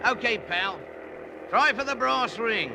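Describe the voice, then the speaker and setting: Cheeky cab driver, high voice, wisecracks n slang, old radio show w engine noise. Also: male voice, animated, slow pace, vocal fry, exaggerated voice. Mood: eager, plotting. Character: cheeky, game cockney-nicknamed male cab driver, wisecracking. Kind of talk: 1940s radio drama acting.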